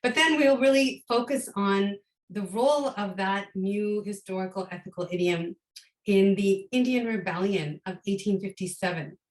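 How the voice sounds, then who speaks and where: Hoarse woman lecturing with many ums. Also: medium pitch, moderate pace, reverberant, rough recording. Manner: thoughtful, with frequent ums and restarts. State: hoarse, recovering from a cold. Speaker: guest lecturer. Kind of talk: academic lecture.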